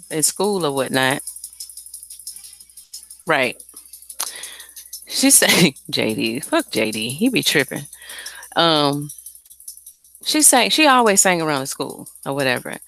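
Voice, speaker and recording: Woman, fairly low pitch, airy tone, casual unscripted chat, candid, slangy, swearing, faint music in the background. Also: sing-song, slow, long pauses, fairly bright tone, low-quality recording. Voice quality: light, airy voice. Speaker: singer and songwriter.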